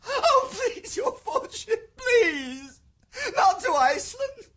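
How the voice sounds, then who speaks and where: In a radio play, a frantic young man who begs in a piercing, sobbing voice. The pitch jumps wildly and climbs very high as he cries and pleads. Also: very animated, slow, pushed and bright, exaggerated voice. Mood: desperate pleading. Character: panicked young man begging not to be exiled. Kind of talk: 1990s radio drama.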